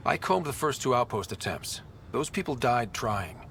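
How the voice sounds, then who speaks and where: An actor playing an earnest young male space explorer in a video game, with a gravelly edge to his voice. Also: medium pitch, sing-song, normal speed, a bit bright, slight background noise. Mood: earnest, serious. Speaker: player-character explorer. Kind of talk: video game dialogue acting.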